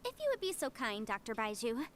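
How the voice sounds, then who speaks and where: High-voiced, businesslike young woman. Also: very animated, brisk, a bit bright, a little hiss or hum. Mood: polite, businesslike. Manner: formal, articulate, polite. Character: confident, businesslike young female legal adviser. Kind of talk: scripted game dialogue.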